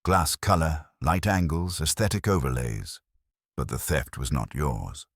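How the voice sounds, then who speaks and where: A man voicing an imperious, erudite detective in an audiobook, speaking in formal, deliberate pronouncements. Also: rumbling low, slightly rough, no echo, clean studio sound, heavily acted. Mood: lecturing, exacting. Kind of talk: detective audiobook character dialogue.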